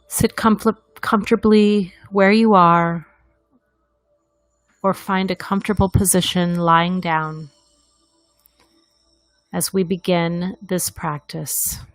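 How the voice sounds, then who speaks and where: A woman leading a guided meditation in a gentle, deliberate manner, leaving long pauses between phrases. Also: on the low side, fairly even intonation, no room sound, faint music behind.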